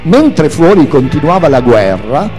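Expressive high-pitched man reading aloud over music. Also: unhurried. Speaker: reader of a memoir.

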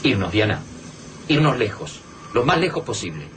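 A man's voice: on the low side, very animated, moderate pace. An actor with a Rioplatense Argentine accent playing a grim, pragmatic male survivor in a radio drama, firm and persuasive. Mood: urgent. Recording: noisy radio-drama recording.

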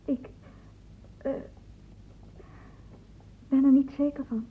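An actress in a Dutch radio play voicing a hesitant, evasive young girl who speaks haltingly and timidly. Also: high-pitched, steady tone. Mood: hesitant, uneasy. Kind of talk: Dutch radio drama acting. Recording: muffled phone-like old radio recording.

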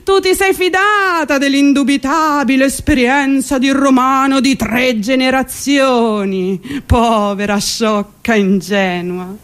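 An actress playing an exasperated, sardonic commuter worn down by city life, in a theatrical radio monologue with ironic, emphatic delivery. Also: female voice, high voice, steady tone, takes it slowly, clean tone. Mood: mocking resignation. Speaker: stage actress.

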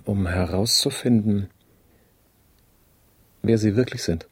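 An actor in a radio drama playing an officious, probing human resources manager with formal, insistent, measured diction. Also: male voice, lowish voice, mellow, slightly rough. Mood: probing, composed.

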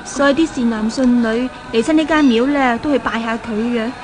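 An actress in a 1970s radio drama playing a kindly, reassuring female companion who speaks gently and persuasively. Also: fairly high pitch, fairly quick, close to an everyday voice. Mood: informative.

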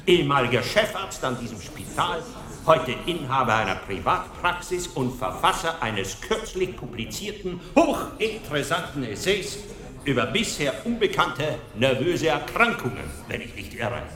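An actor playing a shrewd, self-assured analyst in a German radio play, speaking formally and with pedantic precision. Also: male voice, fairly high pitch, big pitch swings, moderate pace, sharp tone. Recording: roomy, with clear crowd sound.

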